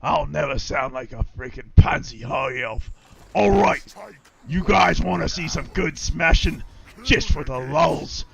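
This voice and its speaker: A man role-playing a boastful, brutish male orc warlord on a game stream, with a rough, gravelly throat and rowdy, crude bellowing. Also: medium pitch, natural voice. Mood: defiant.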